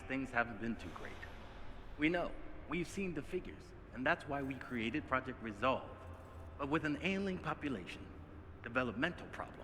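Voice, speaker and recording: An actor playing a slick, upbeat male corporate spokesman who presents in a polished, flattering, salesmanlike way. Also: a little high, long reverb tail, a little hiss or hum.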